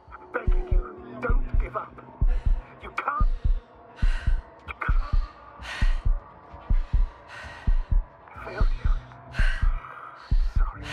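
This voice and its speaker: A man's voice: high-pitched, lo-fi sound, heavily acted. An actor playing an earnest, guilt-burdened male mission commander in a dramatic sci-fi video game. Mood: desperate, anguished.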